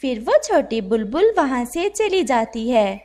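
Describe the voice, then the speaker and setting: A woman's voice: a little high, big pitch swings, unhurried, darkish tone. A woman narrating a moral animal story for children.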